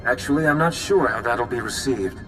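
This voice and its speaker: A man acts a businesslike military ally in a game cutscene. He sounds concerned and brisk at an average male pitch. Music plays clearly behind him. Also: a bit bright, natural voice, poor audio. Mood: worried. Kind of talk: video game cutscene acting.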